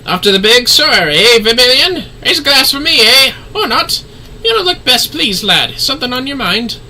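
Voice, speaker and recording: A man's voice: very high voice, big pitch swings, normal speed, sharp tone, a little hiss or hum, pitched above the performer's own voice, full character voice, low-quality recording. A man voicing a hearty, familiar male comrade in a fantasy game, with a jovial, folksy, questioning manner. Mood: jovial, probing.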